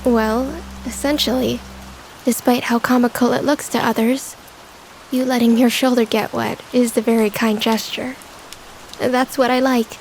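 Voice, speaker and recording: A woman's voice: on the high side, flat delivery, slight background noise, low-quality recording. An actress playing a polite, reserved young woman who speaks formally and with care in a manga drama dub. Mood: gently fond. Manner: formal, measured.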